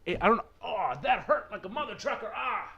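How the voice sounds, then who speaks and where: A man's voice: sits high, animated, fairly quick, vocal fry, sharp tone, husky, highs cut off. A man narrating a visual novel playthrough, with an informal, self-correcting manner and casual asides. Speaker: let's play narrator.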